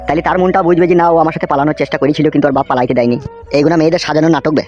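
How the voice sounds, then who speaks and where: A man's voice: a little high, fairly even intonation, racing, darkish tone, dull audio, faint music behind, natural voice. A man voicing a blunt, foul-mouthed, world-weary young henchman in an amateur comedy cartoon. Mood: bitter storytelling. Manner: blunt, swearing, rural colloquial talk.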